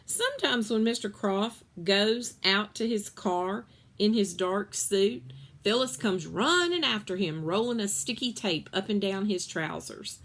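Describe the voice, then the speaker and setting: A woman reading a children's novel aloud in an expressive storytelling style. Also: medium pitch, unhurried.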